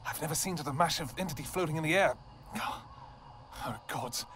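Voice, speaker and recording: A man's voice: on the high side, normal speed, a bit bright, a little hiss or hum. A man playing a devoted royal vampire king in an audio roleplay, tender with his wife and commanding with his soldiers. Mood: urgent.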